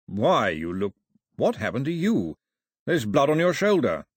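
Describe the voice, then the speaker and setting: A man reading aloud and voicing a stately, fatherly official who speaks in a measured, placating, slightly pompous way. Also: medium pitch, huge pitch swings, husky, dry recording, clean studio sound, full character voice.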